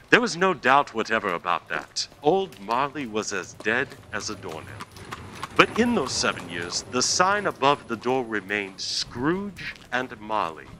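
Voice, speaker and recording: A man narrates a scripted radio play in a theatrical storytelling style. His middle-pitched voice swoops expressively, landing with deliberate dramatic emphasis. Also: sing-song, pushed and bright, slight background noise.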